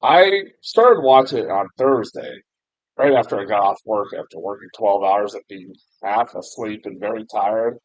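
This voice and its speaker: A man's voice: fairly low pitch, moderate pace, no room sound. A young American man giving a casual, rambling film review, full of fillers and restarts, laughing at himself and swearing mildly. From United States. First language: English. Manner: rambling, self-deprecating, frequent fillers and restarts, laughs often, mild swearing. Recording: dull, muffled lo-fi sound, dry.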